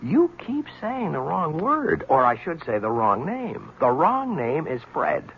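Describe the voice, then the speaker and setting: An actor playing a persistent, sardonic newspaper reporter in an old-time radio mystery, trading probing, clipped banter. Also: male voice, a little high, huge pitch swings, measured pace, full character voice. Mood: insistent, teasing. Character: wry, persistent male newspaper reporter, drawn to danger. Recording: dull, hissy vintage radio recording.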